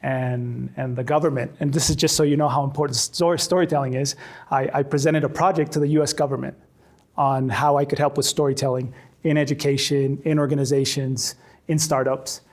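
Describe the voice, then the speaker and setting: A man's voice: mid-range voice, steady tone, brisk. A man in his forties from Chile, a storytelling trainer giving a conversational workshop talk to a faculty audience, often repeating words as he restarts. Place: classroom with a live audience.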